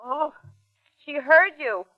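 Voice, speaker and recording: A woman's voice: high voice, lively intonation, slow pace, lo-fi sound. An actress playing a confiding, discontented woman neighbor in a vintage radio drama, on a thin old recording. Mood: confiding, disapproving. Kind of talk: 1940s radio acting.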